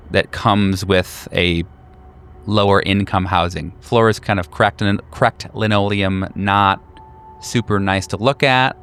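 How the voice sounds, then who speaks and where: A man narrating a tabletop horror game as game master, describing scenes in a measured, deliberate way. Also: on the low side, fairly bright tone, a little hiss or hum.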